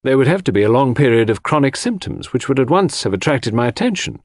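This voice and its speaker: A man's voice: medium pitch, big pitch swings, quick pace, a little mellow, no echo, clean studio sound, voice pushed up. A man voicing an authoritative, scornful doctor giving formal, emphatic testimony in an audiobook. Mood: dismissive, certain.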